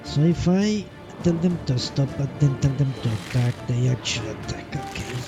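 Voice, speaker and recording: A man's voice: medium pitch, expressive, normal speed. A man streaming a video game with casual live commentary, muttering to himself and swearing now and then. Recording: loud game music behind voice. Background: loud video game music.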